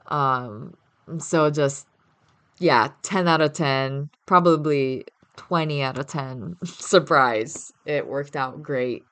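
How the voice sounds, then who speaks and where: Low, slow-talking young woman from Brazil. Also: a bit bright, clean tone, dry recording. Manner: laughs often, hesitant fillers. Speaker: interview guest. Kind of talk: casual interview chat.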